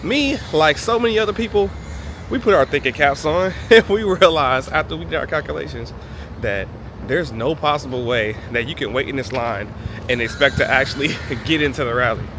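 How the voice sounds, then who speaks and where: A man vlogging on a city street with a phone, talking in an amused, animated, joking way. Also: on the high side, fairly quick. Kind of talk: on-location vlog commentary. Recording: outdoor street noise with loud music.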